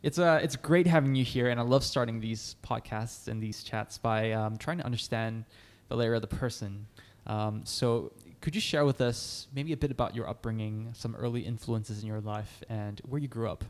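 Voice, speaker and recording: A man's voice: mid-range voice, moderate pace, few pauses. A young man hosting a podcast on a studio mic, encouraging his guest and asking long questions. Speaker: podcast host. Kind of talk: interview hosting. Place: bright studio room. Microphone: studio mic on a boom arm.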